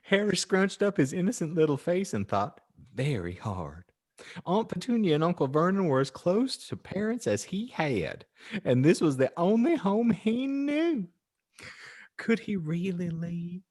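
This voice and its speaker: A man's voice: high-pitched, very animated, unhurried, a little mellow, pitched above the performer's own voice, full character voice. A man voicing a pious, chirpy housewife who gushes earnestly as she reads out her moralizing fan fiction as a storybook. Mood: earnest, dramatic. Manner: gushing, earnest, exaggerated emphasis. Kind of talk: dramatic storybook narration.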